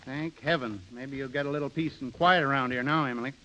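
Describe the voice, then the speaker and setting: An actor playing a touchy, querulous middle-aged friend in a 1930s radio drama. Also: male voice, mid-range voice, fast talker, pushed and bright, lightly acted. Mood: grumpy. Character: grumpy, touchy old pal of the hero. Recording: dull, noisy 1930s radio recording.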